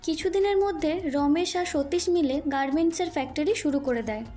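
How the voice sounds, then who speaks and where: High-pitched woman narrator. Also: fast, warm tone. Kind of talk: scripted cartoon story narration. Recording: music under the voice.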